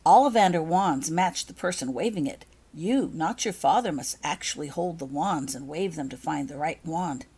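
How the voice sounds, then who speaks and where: A woman's voice: deep voice, normal speed, few pauses, dark tone, slightly rough. A woman voicing a practical, bossy schoolgirl prefect in a storybook, firm and instructive. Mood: firm, instructing. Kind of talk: storybook character voice.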